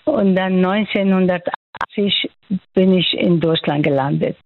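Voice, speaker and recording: An older woman from Afghanistan with an Afghan accent, answering interview questions haltingly as she searches for words. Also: fairly low pitch. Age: 60s or older. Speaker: doctor, interviewee. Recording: narrow-band dry radio interview audio.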